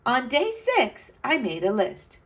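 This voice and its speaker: A woman's voice: high voice, wildly swooping pitch, slow pace, exaggerated voice. A woman reading a storybook as an earnest, adventurous young boy who tells his own tale dramatically. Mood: determined.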